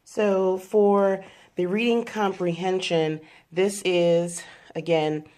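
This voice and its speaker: Low-voiced woman explaining a homeschool routine. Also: fairly even intonation, mellow. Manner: unhurried, explaining step by step with frequent fillers. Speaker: homeschooling mother and channel host. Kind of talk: casual explanatory vlog.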